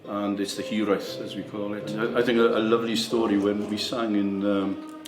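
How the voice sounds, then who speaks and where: A man's voice: lowish voice, level tone, moderate pace, echoey room, music in the background. A Welsh man from a male voice choir telling anecdotes in a casual interview.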